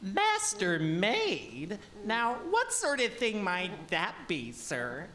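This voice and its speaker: Casting: female actor as headstrong, cheeky young man for radio folktale, unhurried, extremely expressive pitch. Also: exaggerated voice. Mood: polite, eager. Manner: polite but cocky. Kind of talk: audio drama folktale acting.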